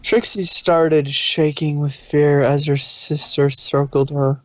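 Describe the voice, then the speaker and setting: A man's voice: on the high side, level tone, slow pace, dry recording. A man reading scripted fan fiction as the narrator of a dramatic story.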